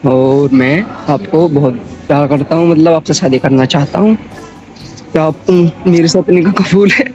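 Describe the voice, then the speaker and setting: A man's voice: on the high side, normal speed. A young man chatting casually in a bashful, playful way. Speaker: game player being pranked. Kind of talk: casual chat. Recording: muffled game voice chat, loud music behind.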